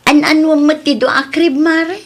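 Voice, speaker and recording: A woman with a Filipino Ilocano accent playing a teasing, lively neighbour selling her goods in a radio comedy drama. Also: sits high, a little slow. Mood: teasing, surprised.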